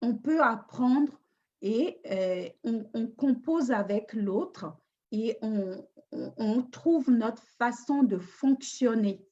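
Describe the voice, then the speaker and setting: A woman's voice: on the high side, lively intonation, takes it slowly, a little mellow. A woman coaching on relationships in a live talk, hesitant and thoughtful with frequent restarts. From Africa. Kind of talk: unscripted live talk answering a question. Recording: dull lo-fi live stream audio.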